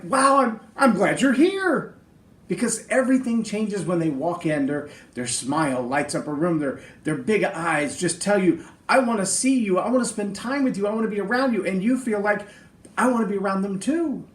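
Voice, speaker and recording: Middle-aged male podcast host, big high-set voice, earnest and emphatic, roomy echo. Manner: earnest, emphatic, speaks in lists. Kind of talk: motivational leadership talk.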